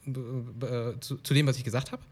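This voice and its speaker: A man hosting a casual conversation, lively and eager, with quick interjections. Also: medium pitch, breathy edge.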